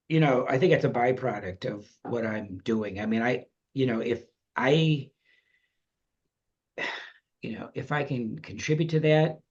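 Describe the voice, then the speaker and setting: A man's voice: mid-range voice, muffled recording. A man chatting as a podcast guest, reflective and rambling, with many restarts and fillers. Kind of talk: casual interview chat.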